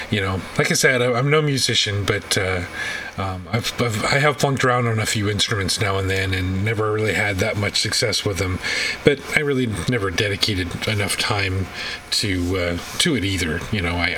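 A man with a fairly low, very bright and forward voice hosts a casual interview. He rambles and hesitates as he feels out his sentences. He sounds a little way from the microphone, with faint noise behind him. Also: moderate pace. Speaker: podcast host. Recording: distant mic, faint background noise.

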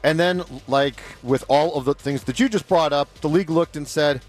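A man's voice: a little high, moderate pace, faint music behind. Bantering man on sports radio. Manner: dry, bantering, animated storytelling. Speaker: radio co-host. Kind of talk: casual sports radio chat.

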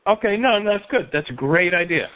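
A man's voice: high voice, lively intonation, fairly quick, no echo. A man with a slightly raised voice chats casually as a guest, hedging with fillers and cursing now and then. His voice comes through dry and a little dull, with a lo-fi edge. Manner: chatty, hesitant fillers, swears casually. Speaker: author guest. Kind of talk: casual chat.

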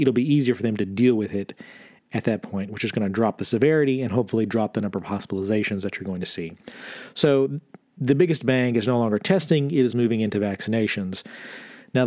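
A man with a mid-pitched voice talks as a podcast host, explaining the news on his own. His delivery is conversational and informal, laying things out step by step. The sound is dry, with no room around the voice. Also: a little mellow, dry recording. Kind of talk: solo news commentary, explaining figures.

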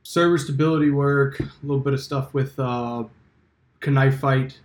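A man's voice: medium pitch, steady tone, a little hiss or hum. Tired-sounding man in a roomy space. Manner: halting, many fillers. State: a little tired. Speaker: podcast co-host. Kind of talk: casual podcast chat. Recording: roomy echo.

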